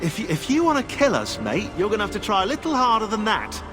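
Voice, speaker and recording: A fussy British male researcher, sarcastic and sure of himself. His fairly high voice swoops expressively through dry, wry lines, with loud music behind it in a game cutscene. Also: wildly swooping pitch, fast. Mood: rattled, defiant. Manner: dry, wry, stammering when rattled. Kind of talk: video game cutscene acting.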